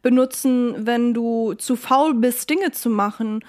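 A woman with a fairly high voice chats casually as a podcast co-host. She is playful and giggly, and talks in loose slang. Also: takes it slowly, a little mellow. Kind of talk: casual comedic chat.

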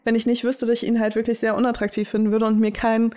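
High-voiced, giggly young woman chatting. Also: completely flat delivery, fast, warm tone. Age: young adult. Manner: giggly, candid. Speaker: podcast co-host. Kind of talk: casual chat between friends.

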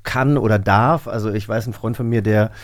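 Chatty man podcast host, lowish voice. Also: steady tone, normal speed. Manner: chatty, anecdotal, many fillers. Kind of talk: casual conversational chat. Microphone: studio microphone.

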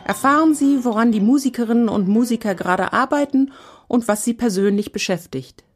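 A woman's voice: a little high, fairly quick. A woman reading a scripted podcast introduction as an announcer. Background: music.

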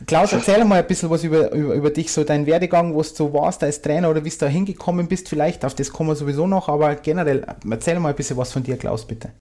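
A man's voice: a little high, quick pace, hardly any pauses, dark tone. A man with a southern German accent hosting a coaching podcast, chatty and friendly with joking asides. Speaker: podcast host, ice hockey coach educator. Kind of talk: casual interview hosting.

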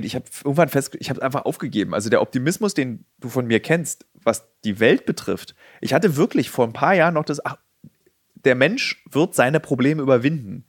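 A man of ordinary pitch hosts a casual podcast, thinking aloud in long, reflective turns. He sometimes slips into quoted voices. The sound is close and dry, with no room behind him. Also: no echo. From Berlin. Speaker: podcast host.

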